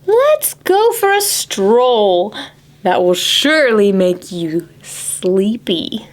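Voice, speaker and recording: A woman voicing a weary, pleading father in a children's storybook, coaxing in a drawn-out way. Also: mid-range voice, sing-song.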